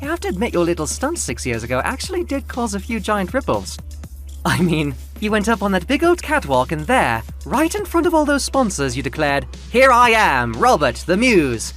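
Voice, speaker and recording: A man voicing an exasperated, sharp-tongued male painter in a theatrical, sarcastic way for an audio drama. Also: high-pitched, huge pitch swings, moderate pace, faint music behind, voice pushed up, exaggerated voice.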